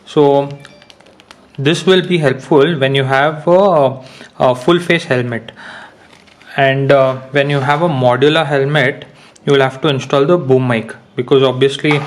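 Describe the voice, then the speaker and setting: A young man explaining an unboxing and install step by step, with many pauses and fillers. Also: mid-range voice. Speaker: motorcycle gear reviewer. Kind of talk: casual product unboxing and how-to.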